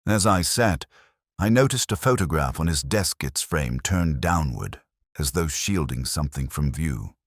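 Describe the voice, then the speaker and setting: A man narrates a literary detective mystery in a very deep voice. His delivery is measured and formal, yet the intonation moves up and down a good deal. The sound is dry, with almost no room to it. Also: expressive, dry recording. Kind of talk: scripted first-person detective mystery narration.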